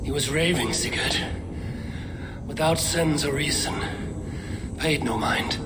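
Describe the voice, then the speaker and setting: A defiant Norse warrior. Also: male voice, slow pace, heavy background noise. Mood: weary. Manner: defiant battle shouts, then low and weary. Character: grim Norse warrior man, defiant and loyal. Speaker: warrior clan leader. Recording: echoey with loud game score.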